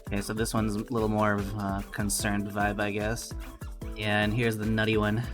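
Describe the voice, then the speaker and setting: Brief: male vlogger, lowish voice, informal and laid-back, talking himself through audition work. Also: monotone, moderate pace, music in the background. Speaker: amateur voice actor, vlog host. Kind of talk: casual chat, thinking aloud.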